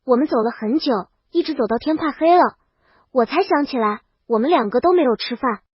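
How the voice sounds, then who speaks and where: A woman with a notably high voice narrates a scripted story in the first person. The recording is very dry and somewhat dull, like a lo-fi voice track. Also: lively intonation, a little fast, breathy edge, no echo. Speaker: audiobook narrator.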